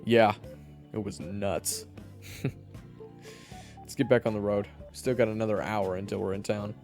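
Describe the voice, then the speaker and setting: A man's voice: lowish voice, fairly even intonation, measured pace, a little mellow, soft background music. A man playing an exasperated, sarcastic party leader in a fantasy audio drama, speaking casually. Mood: casual, pleading. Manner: casual, incredulous. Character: exasperated, sarcastic male adventurer leading a party. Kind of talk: fantasy audio roleplay acting.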